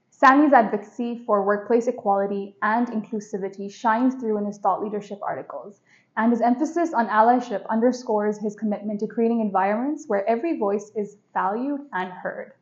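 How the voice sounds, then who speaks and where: A woman hosts a podcast in a polished, enthusiastic manner at a middling pitch. She reads a prepared introduction and then asks her guest questions. The sound is slightly dull and lo-fi. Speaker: podcast host. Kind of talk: scripted introduction and interview questions.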